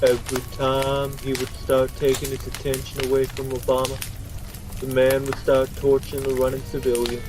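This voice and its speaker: A man's voice: medium pitch, completely flat delivery, normal speed, natural voice, low-quality recording. A man narrating a parody game story in a dramatic, scripted storytelling style. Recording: loud music under the voice.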